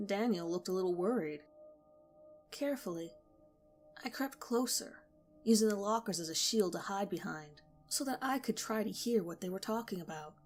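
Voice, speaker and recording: A woman narrating a first-person horror story in a measured, intimate storytelling manner. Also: mid-range voice.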